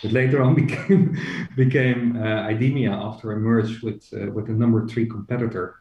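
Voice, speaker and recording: A man's voice: lowish voice, steady tone, moderate pace, a little mellow. A middle-aged man, an HR executive and company co-founder, talking as a podcast guest, hesitant with many fillers and restarts. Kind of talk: casual interview. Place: joining remotely from home. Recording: roomy, distant, dull remote audio.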